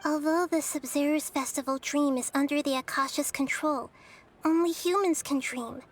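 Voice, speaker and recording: A woman voicing a gentle, wise, childlike young goddess in a video game, speaking softly in a measured, thoughtful, explanatory way. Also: sits high, fairly even intonation, slight background noise, natural voice. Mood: thoughtful, instructive. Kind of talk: English video game dub acting.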